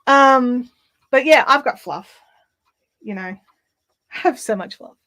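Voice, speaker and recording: A woman hosting a casual craft livestream, chatting in a rambling way with lots of fillers and restarts, her throat slightly raspy. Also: high-pitched, expressive, slow pace, a bit bright. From Australia. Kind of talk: casual live chat while spinning. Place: home craft room.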